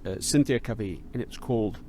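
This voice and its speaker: A man hosting a podcast interview, talking in a halting way with many repeated starts as he reflects and builds ideas aloud. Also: fairly low pitch, darkish tone, a little hiss or hum. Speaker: podcast host. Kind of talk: conversational interview.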